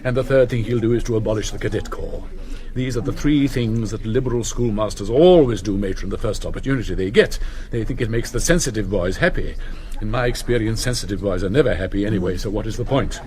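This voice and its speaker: An actor playing a pompous, wistful old English headmaster in a stage comedy, speaking in measured, ornate oratory. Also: male voice, fairly low pitch, darkish tone, close to an everyday voice. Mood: pompous, dry. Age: older. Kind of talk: British stage comedy acting.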